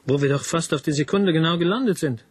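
A composed, obedient spaceship officer reports in a matter-of-fact way in a science fiction radio play. The voice is high for a man and recorded dry. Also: fast talker, breathy edge, no echo.

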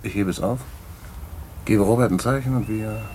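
A man's voice: lowish voice, moderate pace, a little mellow, a little hiss or hum, natural voice, low-quality recording. An actor playing a worldly former racketeer, telling his story in a knowing, patronising way in a radio play. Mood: wry storytelling. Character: worldly male ex-racketeer fondly recounting his schemes. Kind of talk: radio play narration in dialogue.

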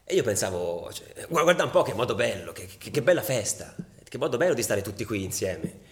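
An actor playing a passionate, embittered football ultra in a halting, colloquial confessional monologue for radio theatre. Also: male voice, fairly low pitch, very animated. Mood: fond, nostalgic. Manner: halting, colloquial, dropping to near-whispers.

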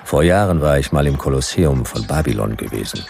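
Very deep, laconic detective narrator. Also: male voice, lively intonation, brisk, gravelly, darkish tone, a little hiss or hum, heavily acted. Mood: dry, wry. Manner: laconic, dry, clipped sentences. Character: laconic hard-boiled male private detective, wryly narrating. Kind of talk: noir radio play first-person narration.

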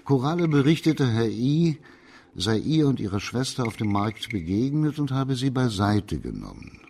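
An actor in a German radio play playing a shrewd, composed magistrate of old China who explains things deliberately and with authority. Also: male voice, mid-range voice, very animated, creaky, darkish tone, slight background noise. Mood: reconstructing the crime.

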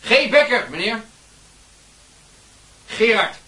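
A man's voice: high-pitched, lively intonation, takes it slowly, bright, forceful tone, reverberant, heavily acted. A male actor playing an indignant, literal-minded young schoolboy in a Dutch radio play. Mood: stubborn, indignant.

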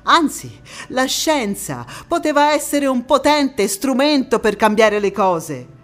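An actress playing a passionate young Italian antifascist woman, recounting her life in a theatrical monologue with dramatic pauses and emphatic repetitions. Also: sits high, big pitch swings, full character voice. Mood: fervent, proud. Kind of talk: theatrical stage monologue, first-person storytelling.

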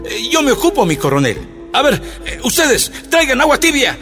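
An army sergeant, bossy and preachy, high and animated. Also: male voice, moderate pace, music in the background, full character voice. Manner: stammering, then scolding. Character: nervous male army sergeant, bullying prisoner. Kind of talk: radio drama acting.

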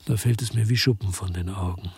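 An actor playing a weary, hard-boiled investigator in a radio drama, with terse, laconic delivery and a husky voice. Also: male voice, fairly low pitch, brisk, darkish tone, no room sound, heavily acted. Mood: grim, reflective. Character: weary, hard-boiled male investigator telling his story. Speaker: first-person narrator and lead actor. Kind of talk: German radio drama narration and dialogue.

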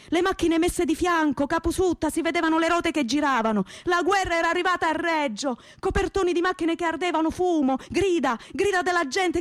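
A woman's voice: high-pitched, no echo, clean studio sound. An actress playing a devout young working-class woman with a Calabrian Italian accent, telling her story earnestly and emotively in a radio theatre monologue. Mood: agitated, terrified. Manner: emotive storytelling in plain, dialect-tinged popular speech.

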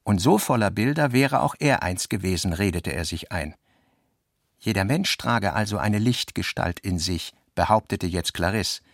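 A man's voice: fairly low pitch, lively intonation, normal speed, dry recording, studio quality. A man reading scripted literary narration as the narrator of a radio play, voicing quoted dialogue within the prose.

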